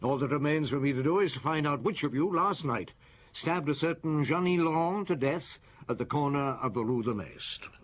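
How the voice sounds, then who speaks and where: An actor playing a weary, relentless middle-aged chief inspector in a radio detective drama, speaking formally and deliberately. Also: male voice, fairly high pitch, expressive, slight background noise, lightly acted. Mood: stern.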